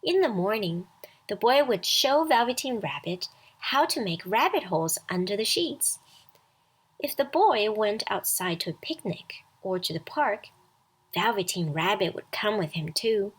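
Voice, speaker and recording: Çok ağır tempolu kadın masal anlatıcısı. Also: female voice, medium pitch, breathy edge, poor audio. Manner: expressive, deliberate read-aloud delivery. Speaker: storyteller. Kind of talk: scripted children's bedtime story narration.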